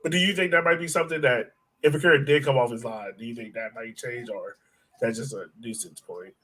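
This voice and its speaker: A man's voice: medium pitch, brisk, bright, forceful tone, low-quality recording. An American man hosting a soccer podcast, talking casually and steering the discussion in an inquisitive, conversational way. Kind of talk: casual conversational sports talk.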